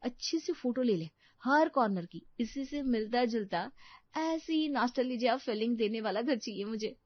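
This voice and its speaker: A woman's voice: a little high, lively intonation, normal speed, no room sound. A woman voicing a demanding, spoilt young bride-to-be in an audio story, fussy and gushing. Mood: dreamy, demanding. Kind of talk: Hindi audio story acting. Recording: slightly dull, dry studio recording.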